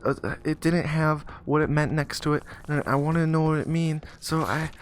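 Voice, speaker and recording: A man voicing an anxious, bewildered little schoolboy in a comic story, stammering and halting as he talks. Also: fairly high pitch, steady tone, a little hiss or hum, voice pushed up, lightly acted. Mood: flustered.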